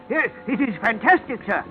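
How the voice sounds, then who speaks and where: A man's voice: very high voice, expressive, measured pace. A man playing an eccentric, jovial but shrewd seafaring salvage expert in 1950s radio drama, talking in florid, formal, chuckling flourishes. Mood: shrewd, businesslike. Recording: dull, hissy old radio recording.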